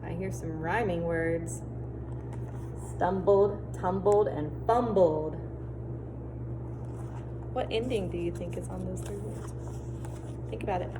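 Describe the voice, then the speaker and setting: A woman teacher doing an expressive storybook read-aloud for children, pausing to explain and ask questions. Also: fairly low pitch. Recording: clear background noise.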